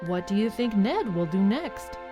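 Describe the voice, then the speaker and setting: A woman's voice: medium pitch, sing-song, normal speed. A woman narrating a scripted radio serial like a storybook, speaking directly to the listeners. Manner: storybook recap, addressing listeners. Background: music with jingling bells.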